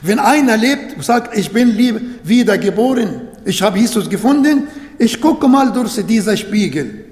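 High-voiced guy preaching in german, not his first language, slow and repetitive, lots of echo. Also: animated, a little mellow. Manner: halting non-native German, repeats phrases, slow and emphatic. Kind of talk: sermon. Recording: echoey hall with long reverb.

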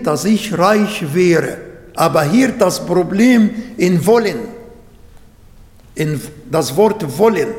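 A man who is not a native German speaker, preaching a sermon in slow, halting German with restarts. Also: high voice, darkish tone. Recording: echoey hall.

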